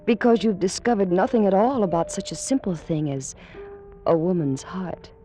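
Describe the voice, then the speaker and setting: A woman's voice: lowish voice, moderate pace, dark tone, soft background music, natural voice. A woman acting a passionate young noblewoman of ancient Egypt in an old-time radio romance, with formal, theatrical delivery. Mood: teasing, passionate.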